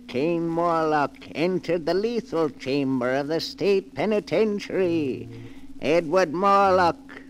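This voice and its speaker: A man giving solemn, measured dramatic narration in the manner of 1940s radio. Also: fairly high pitch, a little hiss or hum, rough recording. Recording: vintage 1940s radio broadcast. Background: dramatic music.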